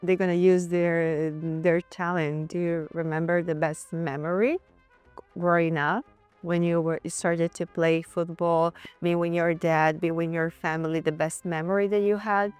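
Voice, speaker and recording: A woman hosting an interview, chatty and quick to react to what her guest says. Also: fairly low pitch, level tone, darkish tone, smooth, faint music behind. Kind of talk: friendly interview.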